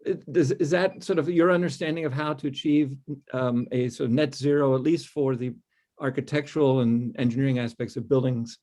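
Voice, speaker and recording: A man at a mid-range pitch chairs an online city board meeting. He welcomes people and asks questions in a loose, friendly way, full of "um"s and restarts. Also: steady tone, brisk, a little mellow. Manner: friendly, rambling, filler-heavy.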